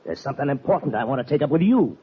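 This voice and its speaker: An actor playing a scheming Western villain in an old-time radio drama, talking in a folksy, falsely fair drawl. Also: male voice, medium pitch, very animated, very fast, loud music behind the voice, close to an everyday voice. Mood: curt, menacing. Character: hard, scheming Western villain, a fatherly-sounding ranch boss. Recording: tinny, band-limited old radio recording.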